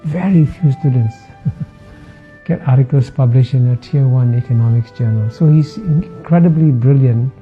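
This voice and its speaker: A man in his seventies from Singapore with a Singaporean English accent, a former dean giving a measured, reflective talk with frequent "uh" pauses and soft laughs. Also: mid-range voice, narrow, tinny audio, faint music behind. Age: 70s. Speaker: former dean of a public policy school.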